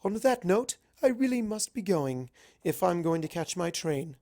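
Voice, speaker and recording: A refined young lady unicorn from a storybook, acted out by the narrator. She speaks high and primly, with theatrical emphasis. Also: very animated, normal speed, warm tone. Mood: prim, determined. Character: Rarity, refined dramatic young female unicorn pony.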